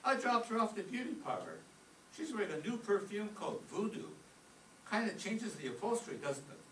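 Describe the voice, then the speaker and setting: An actor playing an eager, cheeky, gossipy teenage high school boy in an old-time radio comedy, recorded from a distance in a roomy space. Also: very animated, brisk, fairly bright tone. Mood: chatty, cheeky. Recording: distant roomy stage pickup, faint noise.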